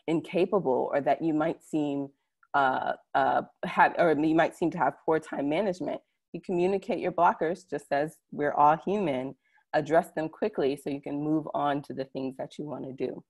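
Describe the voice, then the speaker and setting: Low-pitched woman presenting informally. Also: fairly even intonation, normal speed, darkish tone. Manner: conversational, directly addressing listeners. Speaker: conference presenter. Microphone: computer mic on a video call.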